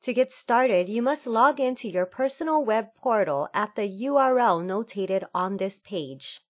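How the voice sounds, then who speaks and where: A woman reading a scripted instructional voice-over in a measured, formal, step-by-step manner. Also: mid-range voice, animated, dry recording, clean studio sound. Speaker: tutorial narrator.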